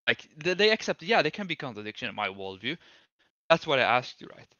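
A man hosting a heated casual debate over a voice call, insistent and quick to cut others off with repeated words. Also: medium pitch, very animated, pushed and bright, no echo, lo-fi sound. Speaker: debate host. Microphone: voice call mic.

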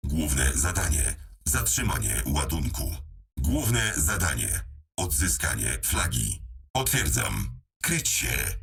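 A war-machine computer from a video game, with an extremely low and very husky voice. It delivers terse tactical reports with slow, deliberate robotic phrasing. The sound is processed and machine-like. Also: male voice, fairly bright tone, recorded from a distance, full character voice. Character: robotic battle mech computer speaking for a hamster pilot. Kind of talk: clipped military status announcements.

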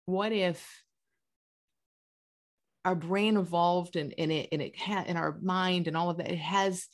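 A woman's voice: fairly low pitch, fairly even intonation, unhurried. A woman in her forties hosting an online event, talking off the cuff in a conversational way and often posing questions. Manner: conversational, questioning, voices imagined thoughts as quotes. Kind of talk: casual unscripted talk answering questions. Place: indoor room on a video call.

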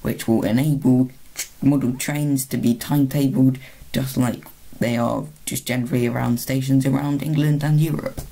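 A video host narrating an informal screen-recorded tutorial in a halting way, often rephrasing mid-word. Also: medium pitch, fairly even intonation, creaky, warm tone, low-quality recording. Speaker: video host, hobbyist presenter.

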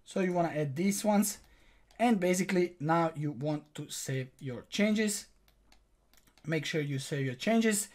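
A man's voice: a little high, measured pace, a little mellow. A young man whose first language is Croatian, hosting a screen-recorded tutorial and walking viewers through each step in a direct, instructive way from a small room. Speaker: online tutorial host.

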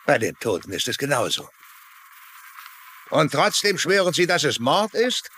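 An actor playing a gruff, sceptical small-town sheriff with a dry, blunt manner in a dubbed crime drama. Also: male voice, on the high side, very animated, normal speed, a bit bright. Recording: faint noise under dialogue.

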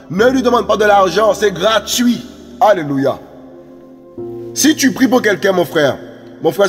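A man's voice: high-pitched, big-room sound. A man preaching an impassioned sermon, repeating phrases and calling out hallelujahs. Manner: repetitive, exhorting, calls out "Alléluia". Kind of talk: impassioned street-style sermon.

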